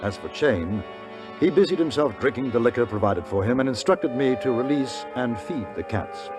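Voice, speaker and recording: Actor doing a gentleman in an old radio western, mid pitch, dry and polite, music behind. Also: animated, normal speed, natural voice. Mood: wry, narrating. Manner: courteous, dry, understated. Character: polite English gentleman traveler and wry storyteller. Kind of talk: 1950s radio Western narration and acting.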